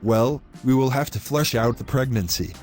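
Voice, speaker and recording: A man acting a pushy, low-voiced selfish boyfriend over music. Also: normal speed, darkish tone, lightly acted. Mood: dismissive, evasive. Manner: formal wordy phrasing, insistent. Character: pushy, selfish young boyfriend pressuring his girlfriend. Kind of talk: scripted moral-story dialogue.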